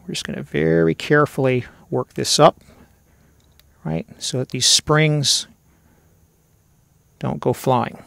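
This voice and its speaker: A man's voice: a little high, steady tone, slow pace, vocal fry, long pauses, fairly bright tone, no echo. A middle-aged man giving casual, step-by-step instructional narration as the host of a hands-on repair tutorial. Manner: conversational, step-by-step explaining.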